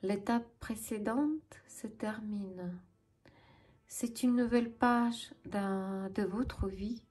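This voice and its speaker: Slow-speaking Ukrainian woman. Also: medium pitch, darkish tone. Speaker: tarot reader and channel host. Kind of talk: tarot reading addressed to viewers.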